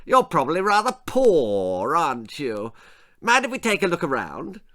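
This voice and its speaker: A pompous, pot-bellied English country lord from a children's storybook. He hoots in a high, bright upper-class voice. His blustering delivery swoops widely. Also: wildly swooping pitch, moderate pace. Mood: snobbish. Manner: pompous, hooting, old-fashioned exclamations.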